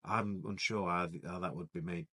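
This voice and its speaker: Male podcast co-host, lowish voice, dry wry humour, hesitant casual delivery. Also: very animated, normal speed, vocal fry, pushed and bright. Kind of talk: casual film chat.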